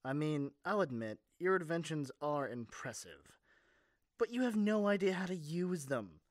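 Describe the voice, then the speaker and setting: A man's voice: a little high, big pitch swings, moderate pace, no echo, studio quality. A man playing a caring but cocky young superhero in an audio roleplay, speaking in an earnest, casual, teasing way. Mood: dismissive. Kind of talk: amateur ASMR audio roleplay.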